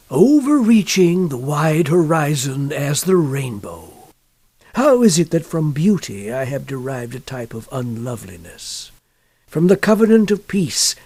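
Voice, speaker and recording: A man reading a gothic tale as a gloomy, brooding nobleman narrator, in solemn, measured phrases with deliberate pauses. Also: fairly high pitch, darkish tone, no echo, heavily acted. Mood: mournful, musing. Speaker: audiobook reader.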